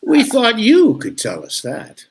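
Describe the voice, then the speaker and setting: An actor playing a stern, suspicious police lieutenant in a radio drama, questioning bluntly with a husky voice. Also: male voice, very high-pitched, huge pitch swings, takes it slowly, exaggerated voice. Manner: blunt interrogating delivery. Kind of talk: old-time radio drama acting. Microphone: video call.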